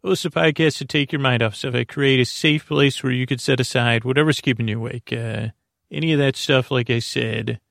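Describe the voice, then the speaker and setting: A man hosting a bedtime podcast in a creaky, soothing voice, meandering hesitantly through absent-minded tangents. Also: medium pitch, fairly even intonation, a little fast, fairly bright tone, no echo, clean studio sound. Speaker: podcast host. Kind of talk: rambling bedtime sleep podcast monologue.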